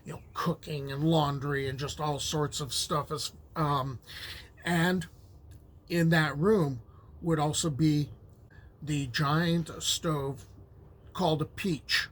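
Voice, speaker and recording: A middle-aged man who grew up speaking Ukrainian at home explains old folk beliefs like a history teacher. His voice sits a little high and he takes his time, wandering through thoughts with plenty of "uh" and "you know.". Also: slow pace. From United States. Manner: relaxed and rambling, with many fillers and restarts. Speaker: history teacher and folklore host. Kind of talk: casual lecture on folklore.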